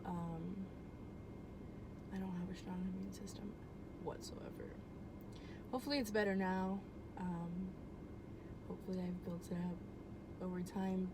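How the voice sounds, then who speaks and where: A young woman telling a personal story at home in a casual, rambling way, full of "um" and "like" and easy swearing. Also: on the low side. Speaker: vlogger. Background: air conditioner hum.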